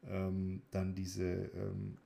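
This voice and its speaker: A young man with a fairly low, very mellow voice. He co-hosts a podcast in casual chat, feeling his way through loosely phrased sentences. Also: monotone, very slow, creaky. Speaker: podcast co-host.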